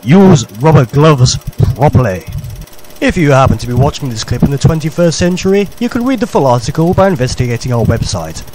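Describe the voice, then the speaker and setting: A man's voice: mid-range voice, sing-song, a little fast, noisy background. A man narrating a parody vintage public information film in a formal, jolly, old-fashioned instructor's manner.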